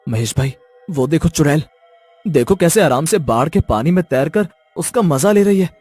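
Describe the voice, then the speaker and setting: Man acting an alarmed, higher-voiced cartoon villager. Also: expressive, moderate pace, darkish tone, slightly rough, soft background music. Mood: accusing. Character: alarmed, distrustful village men in a flood. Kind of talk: dramatic cartoon dialogue.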